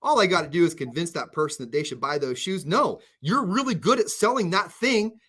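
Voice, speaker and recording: A man radio host in casual chat with his co-host, animated, often restarting his sentences. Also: a little high, fairly quick, husky.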